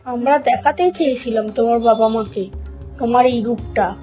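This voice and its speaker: A woman playing a stern, scheming teacher's wife in an animated story, speaking reproachfully. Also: a little high, moderate pace, faint music behind, natural voice. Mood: accusing.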